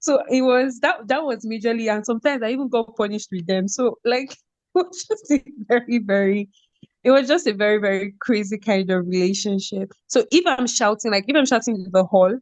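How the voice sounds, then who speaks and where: A young Nigerian woman, a podcast guest, telling school stories in casual conversation, animated and quick to laugh, often asking "do you get?". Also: mid-range voice, no echo. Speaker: podcast guest, former head girl.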